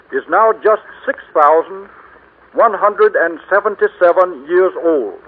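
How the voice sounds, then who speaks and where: A man delivers a formal 1930s radio address through a thin, tinny recording. His voice sits high for a man. He speaks solemnly and with measured, oratorical phrasing. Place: radio broadcasting studio with audience.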